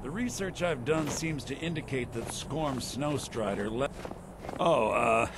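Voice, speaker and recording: A man acts out a shifty impostor officer in a fantasy game. He speaks slowly at a medium pitch, evasive and stammering. Clear noise of the outdoors surrounds the voice. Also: natural voice. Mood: nervous bluffing. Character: shifty male impostor army officer, caught out. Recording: noisy game audio with outdoor ambience.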